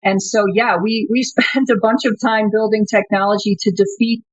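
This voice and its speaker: A woman's voice: medium pitch, steady tone, normal speed, no echo, dull audio. A woman company co-founder answering interview questions thoughtfully, often restarting her sentences. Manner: thoughtful, frequent restarts and fillers.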